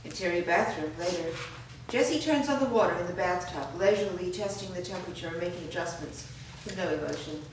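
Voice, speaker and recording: A woman with a slightly low voice narrates screenplay stage directions. She is heard from a distance in a very reverberant room, with noise behind her. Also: animated, quick pace, hardly any pauses.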